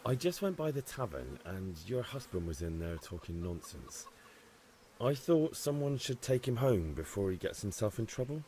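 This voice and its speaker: A polite young village lad speaks hesitantly in a video game. His fairly low voice rises and falls freely, with faint ambient noise behind. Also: sing-song, normal speed, mellow, poor audio. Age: young adult.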